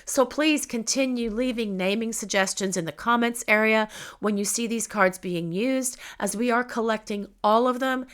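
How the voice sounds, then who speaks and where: Calm middle-aged woman reading tarot. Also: on the high side. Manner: calm, measured, gently repetitive. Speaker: tarot reader. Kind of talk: pick-a-card tarot reading.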